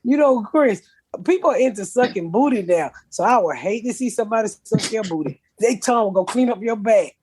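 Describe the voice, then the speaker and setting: A woman's voice: lively intonation, fairly quick, a little mellow, slightly rough. A middle-aged woman, hosting a podcast in a blunt, profane way and laughing often. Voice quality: deep voice for a woman. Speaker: podcast host. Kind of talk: casual comedic chat.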